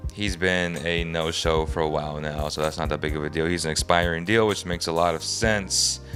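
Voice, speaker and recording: A young man with a very low, raspy voice, hoarse from a lingering cold, hosts a sports podcast. He talks in an emphatic, frustrated way, thinking out loud as he goes. Faint music plays softly behind him. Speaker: podcast host. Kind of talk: sports opinion commentary.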